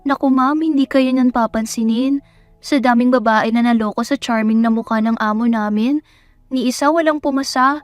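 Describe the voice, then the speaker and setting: A woman narrator acts out a chatty restaurant waitress for a romance audio drama. The voice is fairly high, polite and deferential. It is recorded dry with music underneath. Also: fairly even intonation, moderate pace, no echo, natural voice. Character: polite, chatty young waitress at a resto bar.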